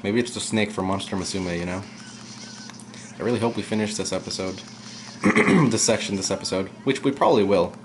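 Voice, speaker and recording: A young man giving casual, rambling commentary while playing a video game, his voice low and at times monotone. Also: fairly quick, noisy background. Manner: rambling, chatty, drifts off topic. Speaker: let's play gamer. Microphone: camera aimed at the game screen.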